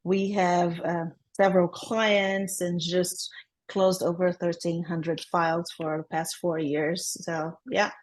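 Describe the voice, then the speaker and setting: A woman's voice: fairly low pitch, level tone, takes it slowly. A woman from Brazil with a Brazilian accent, a guest in a casual interview who talks upbeat and laughs often between her thoughts. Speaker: guest, transaction coordinator.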